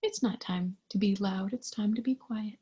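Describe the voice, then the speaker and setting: A woman reading a picture book to young children in a gentle, singsong way, sometimes hushed, asking the listener simple questions with childlike wonder. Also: mid-range voice, moderate pace, husky, dull audio. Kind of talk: interactive children's read-aloud with questions to the listener.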